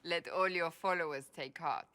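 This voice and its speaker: A woman's voice: low-pitched, normal speed, sharp tone, a little hiss or hum. Earnest, proud woman warrior. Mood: sincere admiration. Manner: earnest, emphatic, formal. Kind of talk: video game cutscene acting.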